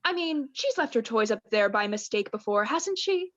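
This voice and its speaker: A young woman plays an oblivious, genteel mother in a student radio drama. Her high voice is arch and theatrical, captured in a dry but slightly muffled home recording. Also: animated, moderate pace, a bit bright, no echo. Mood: arch, hedging. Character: doting, oblivious mother, affectedly genteel wife. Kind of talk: student radio play acting.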